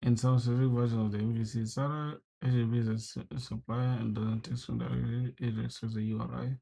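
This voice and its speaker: A man's voice: mid-range voice, little pitch movement, quick pace, gravelly, mellow. A young man from South Sudan livestreaming his coding, chatting casually with viewers, swearing and venting frustration, calling everyone bro. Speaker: programming livestreamer. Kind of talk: casual live coding stream.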